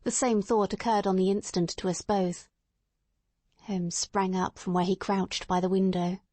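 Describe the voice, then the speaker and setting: A woman's voice: medium pitch, flat delivery, a little slow, darkish tone, no echo. A woman reading a story aloud as a scripted dramatic audiobook narration.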